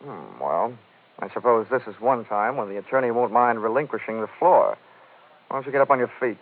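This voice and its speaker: An actor playing a wry, tough, cocky American insurance investigator in a vintage radio drama, with clipped, sardonic delivery. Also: male voice, medium pitch, brisk, slight background noise, lightly acted. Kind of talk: 1940s-50s radio detective acting, first-person narration. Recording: muffled vintage radio recording.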